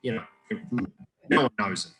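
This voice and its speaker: A man's voice: moderate pace, bright, forceful tone, slight background noise. A man from Iraq, a guest telling his story in a casual interview, rambling and joking with many restarts.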